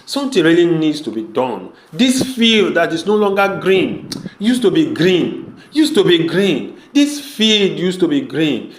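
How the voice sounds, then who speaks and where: Earnest troubled brother played by a man, high voice, emphatic and repetitive pleading, roomy echo. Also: fairly bright tone, a little hiss or hum. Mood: earnest, determined. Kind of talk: amateur audio drama acting.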